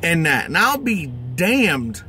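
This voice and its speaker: A man's voice: fairly high pitch, big pitch swings, takes it slowly, background noise. A middle-aged American man, a truck driver, ranting to camera in an exasperated, emphatic, heavily profane way. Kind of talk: angry vlog rant. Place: inside a truck cab.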